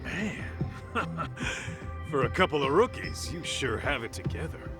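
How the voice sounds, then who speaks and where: An actor playing a relaxed detective partner in a video game. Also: male voice, fairly high pitch, over music. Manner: slow, relaxed drawl. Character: laid-back male detective partner, easygoing. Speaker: private eye.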